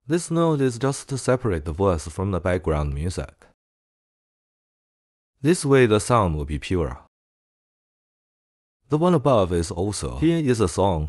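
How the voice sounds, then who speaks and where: A man presenting a software tutorial in a casual way. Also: deep voice, no echo, studio quality.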